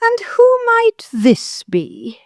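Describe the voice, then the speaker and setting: An actress playing an imperious older mother-in-law in an audio drama, clipped, sharp and full of disapproval. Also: very high-pitched, huge pitch swings, very slow, no room sound, studio quality, heavily acted. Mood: cold, suspicious.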